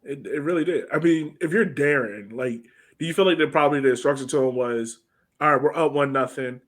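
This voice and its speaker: A man's voice: on the high side, a little fast. An American man co-hosting a sports podcast, talking casually and conversationally with informal, slangy phrasing. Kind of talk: casual soccer match breakdown chat.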